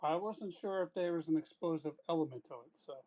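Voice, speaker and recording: A man's voice: a little high, fast talker, mellow, rough recording. A man chatting casually as a player in a tabletop roleplaying game.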